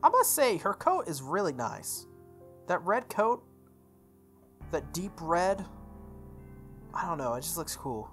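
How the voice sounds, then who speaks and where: A young man reading a visual novel aloud as a let's play host, breaking into casual animated commentary. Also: high-pitched, takes it slowly, pauses often, faint music behind.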